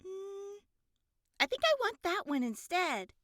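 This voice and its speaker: A self-assured little girl, played by a woman, speaks in a high, bright, childlike voice. She is matter-of-fact but animated, in a dry studio-style audio drama. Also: takes it slowly, no room sound, exaggerated voice. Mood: decided. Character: determined, matter-of-fact young girl, daughter.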